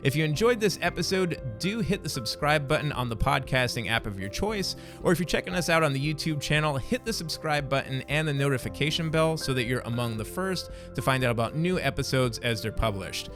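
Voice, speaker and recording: A man's voice: on the high side, animated, fairly quick, hardly any pauses, over music. A man hosting a podcast, upbeat and affirming, paraphrasing his guest's answers back to him. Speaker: podcast host. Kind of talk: friendly interview, podcast outro.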